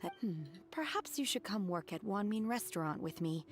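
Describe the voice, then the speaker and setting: An actress plays a composed, literal-minded young woman in a video game. She is formal and deadpan, in a medium register with moving intonation, over faint music. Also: mid-range voice, normal speed, lightly acted. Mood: calm, matter-of-fact. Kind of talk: video game dialogue acting. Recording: game music playing behind the voice.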